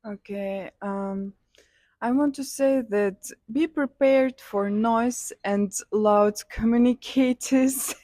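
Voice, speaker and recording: Slow hesitant young Kazakh woman. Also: fairly high pitch, dark tone, dry recording. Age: young adult. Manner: hesitant, many fillers, simple non-native English. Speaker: interviewee, student. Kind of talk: street interview answers.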